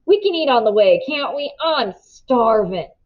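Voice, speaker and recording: Woman voicing an excitable, high-pitched teenage boy. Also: unhurried, muffled recording. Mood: boisterous. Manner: exclaiming, folksy, eager. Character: excitable, carefree teenage boy, eager and boisterous.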